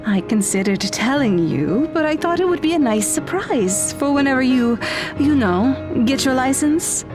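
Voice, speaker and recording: A woman's voice: high-pitched, expressive, normal speed, over music. A woman playing a kind, upbeat middle-aged mother in an audio drama. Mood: chatty. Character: kind, upbeat widowed mother of a teenage daughter.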